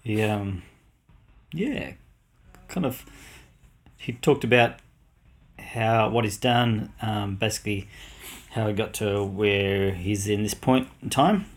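A man's voice: on the low side, slow, pauses often. A man co-hosting a podcast in casual chat, mostly giving short agreeing replies and hesitating with ums. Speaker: podcast co-host.

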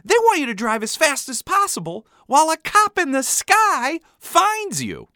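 A precocious, stubborn boy from a comic family story, as a man acts him out. The voice is pushed high and bright. He argues insistently, with animated, indignant swings. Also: normal speed, no echo, clean studio sound, heavily acted. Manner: insistent, argumentative, high-strung.

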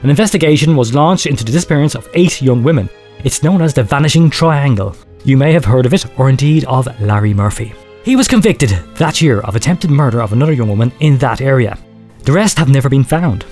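A man playing a grave researcher, telling a horror confession in a measured, clinical and ominous way. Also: mid-range voice, lively intonation, darkish tone, soft background music. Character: grave male researcher confessing secret horrific experiments. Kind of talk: dramatic horror storytelling, read aloud.

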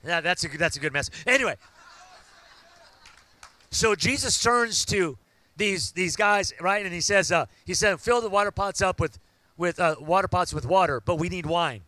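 A man, preaching a sermon and building to loud, fervent call-outs to the congregation. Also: high-pitched, normal speed, no echo. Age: 50s. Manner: preaching with rising shouts and call-outs to the crowd. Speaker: pastor.

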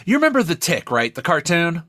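A man co-hosting a podcast, chatting casually and profanely about films in an emphatic, rambling, excitable way. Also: fairly high pitch, a little fast, no room sound, studio quality. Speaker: podcast co-host. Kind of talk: casual, profane film review chat.

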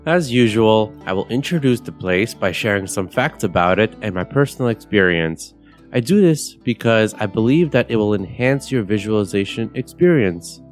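A young man from Bulgaria hosting a podcast, guiding a meditation in a calm, measured, soothing way. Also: lowish voice. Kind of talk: guided meditation narration. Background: soft guitar music.

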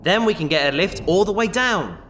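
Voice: medium pitch, animated, brisk, fairly bright tone, lightly acted. An actor playing an easygoing, glib, apologetic treasure hunter with a casual manner in a sci-fi audio drama. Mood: glib, defensive. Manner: glib, casual, self-justifying.

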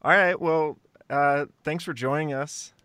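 A man's voice: a little high, steady tone, measured pace, pushed and bright, clean tone. A man hosting a podcast in a casual, chatty style. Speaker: podcast host. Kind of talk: casual chat.

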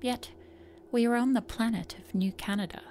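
A woman narrating a short story in a soft voice that turns breathy in places. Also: fairly high pitch, normal speed, a little hiss or hum. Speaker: story reader.

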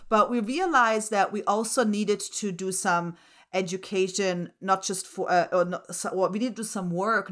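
Middle-aged woman from germany, german and slovak native, lowish voice, explains stuff earnestly like an academic guest. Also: a bit bright. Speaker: interview guest, writing center director and associate professor. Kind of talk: explanatory interview talk.

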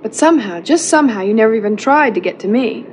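An actress playing a sharp, distrustful, haughty young heiress in a 1980s television soap drama. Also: female voice, fairly high pitch, expressive, quick pace, lightly acted. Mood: accusing, scornful. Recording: muffled TV soap clip, faint noise.

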